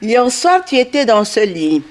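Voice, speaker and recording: Naive cook, high swooping voice. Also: female voice, big pitch swings, unhurried. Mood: teasing, evasive. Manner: broken, simple French, giggly. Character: naive, talkative, cheerful woman cook guarding a prisoner. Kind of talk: 1960s French radio drama acting.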